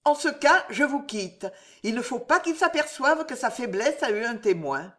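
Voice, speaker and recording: A reader voicing a gentle dignified seamstress. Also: female voice, on the high side, moderate pace, pitched above the performer's own voice. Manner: calm, soft, dignified. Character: humble young tapestry worker, gentle and dignified.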